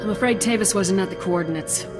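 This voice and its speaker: A woman's voice: medium pitch, quick pace, lightly acted. A woman playing a resolute, dutiful lieutenant in a video game, speaking in a firm, earnest military way. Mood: reporting. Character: resolute, dutiful young female soldier, a lieutenant. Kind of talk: video game cutscene acting. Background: music.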